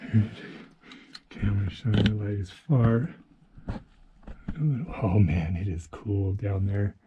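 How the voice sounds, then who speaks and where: A man exploring an abandoned mine tunnel, thinking aloud in casual commentary and often dropping to a hushed, near-whispered voice. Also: fairly low pitch, steady tone, slow pace, highs cut off, noisy background. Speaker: mine explorer and channel host.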